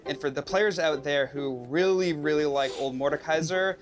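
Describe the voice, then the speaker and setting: A man's voice: on the high side, normal speed. A man speaking as a game developer, explaining design choices in a conversational promotional interview style. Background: music.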